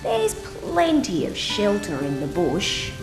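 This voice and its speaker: A woman's voice: medium pitch, huge pitch swings, takes it slowly, roomy sound, pitched above the performer's own voice, heavily acted. A woman voicing a kindly, encouraging grandmother in a children's storybook. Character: kindly grandmother who loves the bush. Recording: music behind voice.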